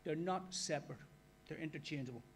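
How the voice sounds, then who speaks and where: A man's voice: fairly high pitch, brisk, a little hiss or hum. A man with an Irish accent giving a conversational workshop lecture and putting questions to the audience. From Ireland. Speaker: guest lecturer. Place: lecture hall.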